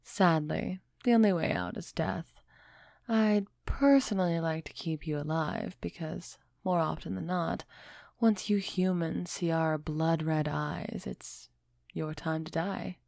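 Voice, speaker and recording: A woman playing a refined, centuries-old female vampire in an intimate audio roleplay, gently imperious and softly teasing as she speaks straight to the listener. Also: deep voice, creaky, no room sound, clean studio sound, exaggerated voice. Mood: candid, measured. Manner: slow, measured, softly teasing, addressing listener directly.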